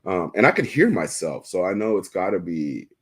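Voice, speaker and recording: A man with a very low voice hosting a casual livestream chat, talking into a desk microphone in a loose, joking way and laughing often. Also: very animated, normal speed, breathy edge. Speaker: livestream host.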